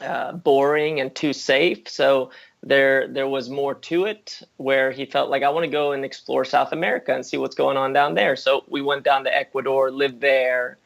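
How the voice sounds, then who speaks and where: A man born in the US and raised in Spain, answering interview questions in a thoughtful way, with many pauses and restarts. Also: mid-range voice, flat delivery, creaky, fairly bright tone, muffled recording. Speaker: interview guest.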